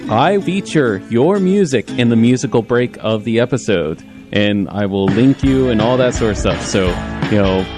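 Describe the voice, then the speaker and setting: A man chatting casually as a radio interview guest, rambling and halting as he promotes his own work. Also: mid-range voice, expressive, music in the background. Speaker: interview guest, audio series creator.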